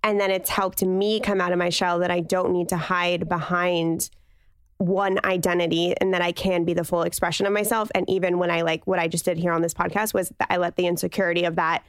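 A woman's voice: fairly low pitch, fairly even intonation, normal speed, clear, resonant tone, dry recording, clean studio sound. A young woman on a podcast chatting casually with friends, candid and reflective, talking in long trailing runs. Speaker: podcast co-host guest. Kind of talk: casual chat between friends.

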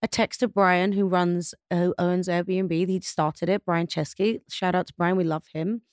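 A woman's voice: on the low side, steady tone, unhurried, a little mellow, no room sound, studio quality. A woman chatting as a guest in an interview, rambling and saying "like" often. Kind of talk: casual chat.

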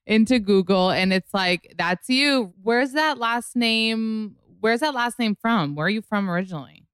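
A woman's voice: on the high side, measured pace, fairly bright tone, clear, resonant tone, no echo, clean studio sound. A woman podcast host talking casually and chattily, with lots of "like" and "you know". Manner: bubbly, gossipy, many fillers like "like" and "you know". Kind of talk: casual interview chat and ad read.

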